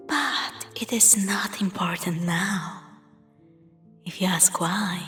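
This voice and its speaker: A woman narrating a spooky scripted story in a hushed, breathy, whispery voice, telling it dramatically. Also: on the low side. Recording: long reverb, music underneath. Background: music.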